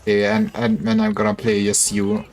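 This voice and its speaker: Low-key wry male game streamer. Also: sing-song, moderate pace, creaky. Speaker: game livestreamer. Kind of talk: casual gameplay commentary.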